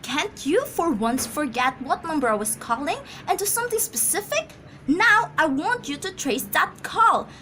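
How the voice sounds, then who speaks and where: A woman's voice: high-pitched, animated, moderate pace, pushed and bright. A woman acting in an amateur radio drama as a frail, nervous, demanding wife who stammers, pleads and gets agitated. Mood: indignant, demanding. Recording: noisy amateur radio play recording.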